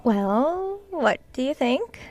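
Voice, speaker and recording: A woman's voice: sits high, big pitch swings, takes it slowly, a little mellow, heavily acted. A woman acting in an audio drama as an earnest, stubborn author who hesitates and then insists. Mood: conversational. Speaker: actor.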